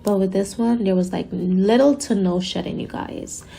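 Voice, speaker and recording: Raspy young woman, gravelly late-night voiceover, chatty and gushing. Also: mid-range voice, moderate pace, warm tone, roomy sound. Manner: chatty, gushing, says "you guys" often. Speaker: beauty vlogger. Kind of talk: casual product review voiceover. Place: home at night, sirens outside.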